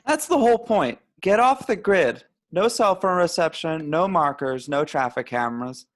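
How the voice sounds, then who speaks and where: A man's voice: a little high, lively intonation, normal speed, close to an everyday voice. A man at an amateur table read playing a paranoid young stoner who rambles in grand, wordy pronouncements. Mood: indignant ranting. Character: paranoid young male stoner, rambling anti-establishment philosopher.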